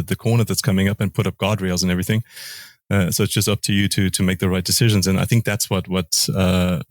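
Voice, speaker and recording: Low-voiced halting man, dry sound. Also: no echo. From Austria, raised in South Africa. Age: 40s. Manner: halting, many restarts and repeated words. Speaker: guest, motorcycle rider. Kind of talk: casual interview conversation.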